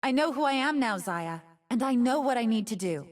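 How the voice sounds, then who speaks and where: A woman voicing a young nine-tailed fox woman in a video game, soft-voiced, friendly and wise, with playful teasing. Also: a little high, expressive, a little fast, far from the mic, close to an everyday voice. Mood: gentle, wistful. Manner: friendly, playful, dramatic delivery. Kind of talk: video game character voice lines.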